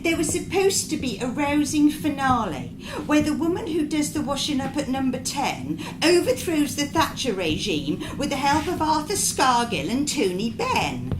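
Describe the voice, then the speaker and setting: A woman reading a comic monologue live as a naive, chatty narrator, confiding and dropping deadpan asides. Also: high voice. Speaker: writer reading her own comic short story. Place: indoor performance venue. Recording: echoey live venue recording with background noise.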